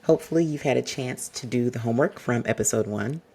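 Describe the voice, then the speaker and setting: A man hosting an advice podcast, speaking to the listener in a polished, exacting and fairly monotone way. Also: mid-range voice, gravelly, husky. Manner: measured, exacting, addresses listener directly. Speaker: podcast host.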